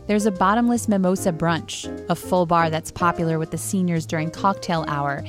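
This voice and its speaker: A woman narrating a radio feature report in a light, measured way. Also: fairly low pitch, over music.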